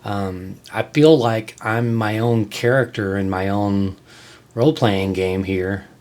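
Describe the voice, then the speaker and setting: A man with a fairly low voice hosts his own show in a casual monologue. He explains things informally to his viewers, feeling his way through sentences with a halting delivery. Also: steady tone, a little mellow. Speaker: host of a channel on music reviews and tabletop games.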